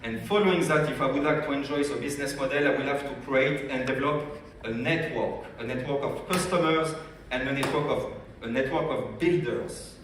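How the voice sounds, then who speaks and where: A man's voice: medium pitch, brisk, few pauses, background noise. French-accented man in an echoing hall. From France. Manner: energetic, addresses audience directly with questions. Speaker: business development director. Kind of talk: convention training talk. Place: large convention hall. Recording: echoey hall, speaker far from mic.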